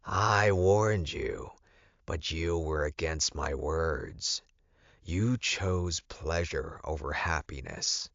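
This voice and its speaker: Man voicing a slow, low, reproachful wolf spirit. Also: fairly bright tone, full character voice. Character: stern inner wolf spirit, reproachful and disappointed. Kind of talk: audiobook character voice. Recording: muffled, boxy, echoey room recording.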